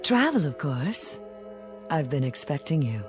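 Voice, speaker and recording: An actress playing a mysterious travel agent in an audio drama, courteous, coaxing and knowing. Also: female voice, deep voice, very animated, a little slow, music in the background. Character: suave, mysterious female travel agent, sly tempter.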